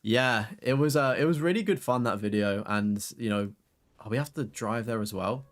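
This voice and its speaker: A man's voice: mid-range voice, moderate pace. A young man chatting casually on a game live stream, rambling with fillers and casual swearing.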